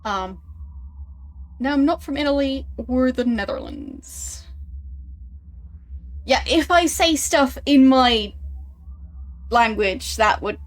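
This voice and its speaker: A woman's voice: sits high, slow pace, long pauses. A young woman streaming a game, chatting casually with viewers and chuckling as they guess her accent. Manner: relaxed, chuckling, teasing about her accent. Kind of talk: casual livestream chat, reading chat.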